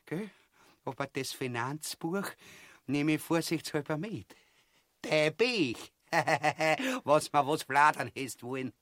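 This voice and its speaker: A man's voice: a little high, expressive, slow pace, a bit bright, no room sound, clean studio sound. An actor playing a crude, greedy, blustering tyrant king in a radio play, with a Bavarian-Austrian accent. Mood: scheming, bossy. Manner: blustering, exclamatory.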